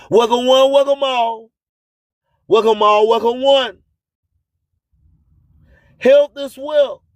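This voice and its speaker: A man with a strikingly high voice hosts a motivational radio show. He delivers preachy slogans and rhyming wordplay in slow, deliberate phrases. The sound is dry and close, without room echo. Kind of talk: slogan-heavy motivational radio monologue with promos.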